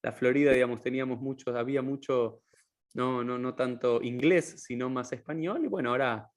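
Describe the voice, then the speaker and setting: A man's voice: medium pitch, a little fast. A chatty male podcast host, interviewing a guest casually. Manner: chatty, uses voseo.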